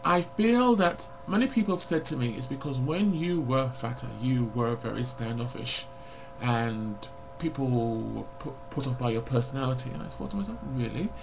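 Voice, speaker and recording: A man's voice: mid-range voice, expressive, moderate pace, gravelly, few pauses, music in the background, low-quality recording. A man of forty talking frankly and bluntly to a webcam in a personal confessional vlog, rambling and reflective. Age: 40s. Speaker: vlogger.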